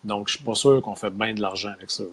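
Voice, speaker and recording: A Québécois man with a slightly low, husky voice talks quickly in a casual discussion. He hesitates often and searches for his words. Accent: Québécois French.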